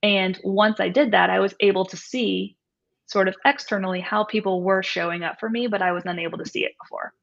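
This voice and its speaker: A woman's voice: medium pitch, fairly even intonation, fairly quick, creaky, a bit bright, lo-fi sound. A woman, an author and coach, speaking reflectively in an interview, often checking in with "right?". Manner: reflective, frequent "right?" tags. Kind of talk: conversational interview.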